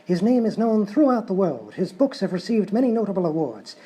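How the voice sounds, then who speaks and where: A man playing a genteel, muddled English talk show host in live sketch comedy, politely formal and deadpan. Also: high-pitched, a little fast. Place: live stage show at a hotel.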